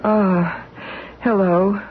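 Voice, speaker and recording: An actress playing a dazed housewife who speaks haltingly in an old radio drama. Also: female voice, mid-range voice, steady tone, a little hiss or hum. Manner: hesitant, halting speech. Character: dazed housewife Edith, embarrassed after passing out. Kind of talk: 1970s radio drama acting. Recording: tinny lo-fi old radio broadcast.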